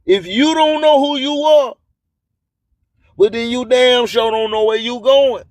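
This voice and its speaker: Slow, high-pitched man hosting radio. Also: no echo. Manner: declamatory, repeats phrases for emphasis. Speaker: radio show host. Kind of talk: live radio monologue with rhymed spoken-word delivery.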